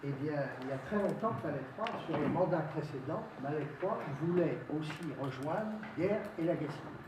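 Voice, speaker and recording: A man, a local official making a deliberate public speech, phrase by phrase, in an oratorical manner. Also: a little high, lively intonation. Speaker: local elected official, mayor. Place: indoor meeting room. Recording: echoey meeting hall, distant mic, noisy.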